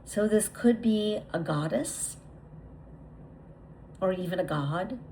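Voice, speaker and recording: Woman, guided meditation leader, medium pitch, deliberate delivery, repeated phrases, lingering pauses, faint background ambience. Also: expressive, mellow, slight background noise, rough recording. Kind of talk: guided visualization, spoken slowly to the listener.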